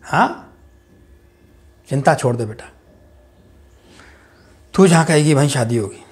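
A man's voice: expressive, slow. Man playing a proud, ruthless politician father. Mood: soothing. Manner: booming and jovial with party workers, dropping to a hushed, cold undertone with family. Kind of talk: dramatic audition monologue.

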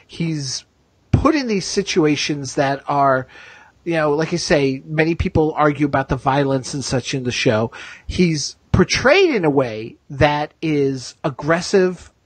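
A man's voice: fairly high pitch, expressive, measured pace, husky, no room sound. A man hosting a podcast, chatting casually as a fan in a talkative, emphatic way. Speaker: podcast host.

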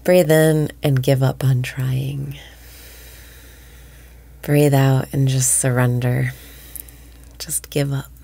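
Woman, meditation guide, slow pace, gravelly, whispering, soothing. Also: rumbling low, level tone, dark tone, no room sound.

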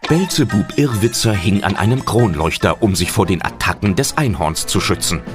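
A man's voice: lowish voice, expressive, moderate pace, close to an everyday voice. A man playing the narrator of an audio drama, telling the story expressively from a script. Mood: narrating. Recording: loud background music under voice.

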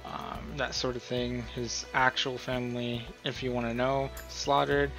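A man's voice: mid-range voice, level tone, measured pace, music in the background, rough recording. A man hosting a casual screen-recorded tutorial, explaining things in an informal, rambling way. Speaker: host of a role-play tutorial.

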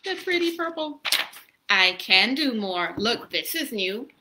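A woman's voice: on the high side, very animated, measured pace. A woman in her thirties reading a children's story aloud in a casual, encouraging way, prompting young listeners with questions. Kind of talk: interactive children's story time, casual chat and read-aloud. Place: small room indoors. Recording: noisy home webcam recording.

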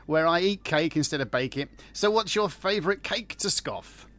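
A man hosting a radio interview, asking brisk, light-hearted questions. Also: a little high, expressive, a bit bright. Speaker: radio host. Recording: music bed under the voice. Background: music.

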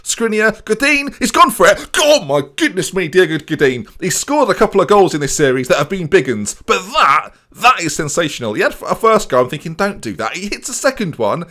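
Young man, football game commentary, lively pitch, slightly high voice, jokey and excitable, headset mic, small home room. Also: fairly quick, few pauses. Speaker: gaming streamer.

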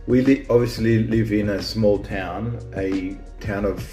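A man's voice: lowish voice, steady tone, unhurried, dark tone, echoey room, faint music behind. A man who grew up in Australia, hosting a casual chat about small-town life, rambling with lots of restarts and ums. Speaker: vlog host.

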